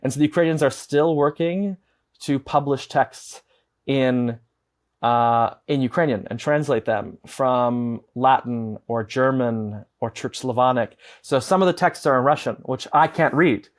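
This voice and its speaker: An earnest young man from the United States, speaking at a middling pitch as he explains things at length. He talks in a measured way, and his voice comes in through wired earbuds on a home video call. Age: young adult. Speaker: guest, advocate. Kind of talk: explanatory interview talk. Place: indoor home room.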